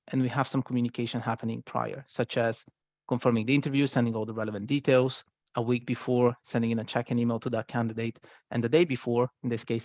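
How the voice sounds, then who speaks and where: A man presents software at a very brisk pace in a mid-range voice. He explains things conversationally, with little check-in tags. The sound is dry and a touch dull. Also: medium pitch, no echo. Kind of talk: product presentation.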